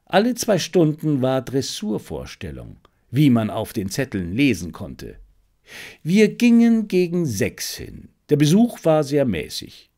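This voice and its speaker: Animated male audiobook narrator. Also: medium pitch, unhurried. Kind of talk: scripted narration of a detective story.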